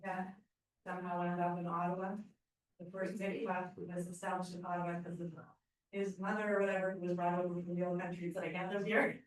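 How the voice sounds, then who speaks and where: A woman's voice: on the low side, level tone, fairly quick. A woman from Canada introducing her family history in a rambling, hesitant way, recorded from far off in an echoey room. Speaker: class participant. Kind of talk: casual self-introduction.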